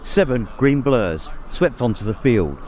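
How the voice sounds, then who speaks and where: Expressive male storyteller with lively pitch. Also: unhurried. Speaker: audiobook reader. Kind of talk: dramatic scripted story narration. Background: music, immersive production.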